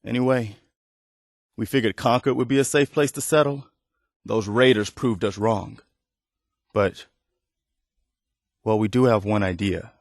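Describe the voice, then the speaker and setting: An actor playing a weary, earnest, plainspoken militia leader who protects refugees, in post-apocalyptic video game dialogue. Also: male voice, lowish voice, unhurried, creaky, long pauses, no room sound, studio quality. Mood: resigned, hopeful.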